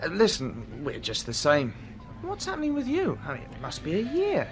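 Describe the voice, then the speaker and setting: Evasive, higher-voiced British man. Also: wildly swooping pitch, moderate pace, over music. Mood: casual, probing. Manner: hesitant, evasive. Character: awkward, evasive man meeting an old acquaintance. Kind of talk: naturalistic radio drama acting.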